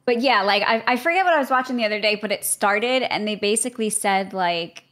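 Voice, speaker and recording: A woman's voice: mid-range voice, a bit bright. A young adult woman in casual chat as a guest, rambling and filling her talk with "like". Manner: chatty and rambling, heavy use of "like", quick to laugh.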